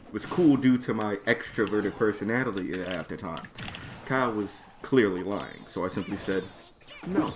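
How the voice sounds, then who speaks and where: Man telling a profane story, noisy recording. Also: lowish voice. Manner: profane, slangy, exasperated. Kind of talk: reading own comedic story aloud to friends. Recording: noisy background, mouse or mic clicks.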